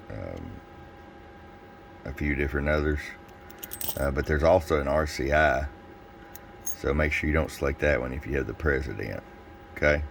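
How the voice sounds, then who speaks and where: A man giving a casual product review and demonstration as a seller, explaining things in an informal, unhurried way. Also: extremely low pitch, creaky, slight background noise. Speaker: radio seller presenting a product demo.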